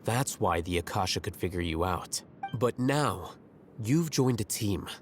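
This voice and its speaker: An actor playing a composed, analytical young scholar in a game cutscene, explaining things in a measured, cerebral way. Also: male voice, on the low side, animated. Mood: reassuring. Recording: clean voice over faint background music.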